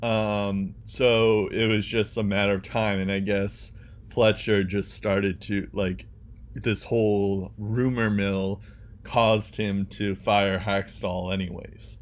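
Young male podcast co-host, lower register, halting casual delivery. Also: little pitch movement. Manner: hesitant, many ums and restarts. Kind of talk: casual sports podcast chat.

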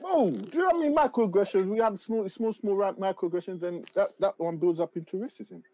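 A man's voice: sits high, fairly quick, mellow. A man, born in Uganda, bantering playfully in a casual chat. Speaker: livestream co-host.

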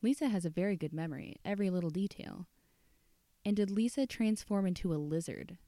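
A woman's voice: mid-range voice, fairly quick, dark tone, dry recording, studio quality, close to an everyday voice. A woman reading a short story aloud as a stern, disapproving female schoolteacher, prim and formal.

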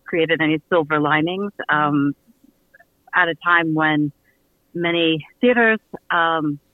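A man with a fairly high voice talks as a guest on a casual radio interview. He feels his way through sentences in halting pieces. The sound is close and dry. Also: dry recording, narrow, tinny audio. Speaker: audio theater producer and guest.

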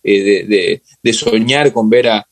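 Ecuadorian man, halting and thoughtful. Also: on the low side, creaky, no room sound. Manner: halting and thoughtful, searching for words, repeating phrases. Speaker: interview guest. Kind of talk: casual, reflective football discussion.

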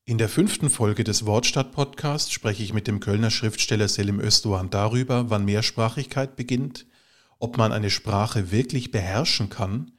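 A man hosting a podcast, reading a formal, measured introduction and then asking his guest questions. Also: fairly low pitch. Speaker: podcast host. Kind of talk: scripted introduction and interviewing.

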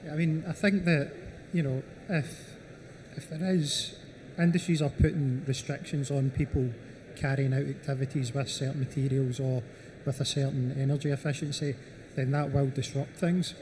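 A man's voice: mid-range voice, little pitch movement, moderate pace, darkish tone, a little hiss or hum. A man speaking as a panelist in a panel discussion, leaning on "you know" as filler. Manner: repeats "you know" often.

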